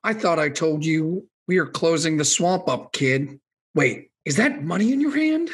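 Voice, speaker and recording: An actor playing a greedy, menacing swamp villain with a raspy voice in a radio play. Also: medium pitch, lively intonation, measured pace.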